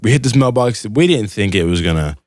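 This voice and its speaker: A man's voice: on the low side, expressive, brisk, dry recording, studio quality. A young man telling casual, comical stories in an informal, slangy, meandering way. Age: young adult. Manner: informal, meandering, slangy, quotes dialogue in retellings. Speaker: podcast storyteller.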